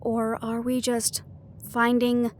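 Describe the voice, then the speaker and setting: A high-voiced, earnest young woman played by a voice actress in a fantasy audio drama. She is a weary investigator of the arcane, speaking hesitantly and conversationally over faint ambience. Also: monotone, takes it slowly, slight background noise. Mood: frustrated, weary. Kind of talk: fantasy audio roleplay acting.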